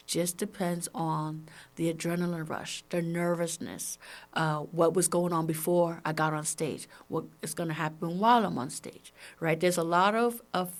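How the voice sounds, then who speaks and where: A woman's voice: fairly low pitch, steady tone, normal speed. A woman with a Brooklyn New York accent hosting a casual solo podcast, talking emphatically and conversationally with frequent rhetorical questions. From Brooklyn, New York, United States. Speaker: podcast host and comedian.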